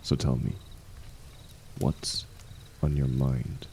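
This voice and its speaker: A man playing a seasoned ex-mercenary warrior in an intimate fantasy audio drama, gruff but kindly, gently teasing and unhurried in his talk. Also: very deep voice, level tone, slight background noise, exaggerated voice. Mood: wry, reassuring.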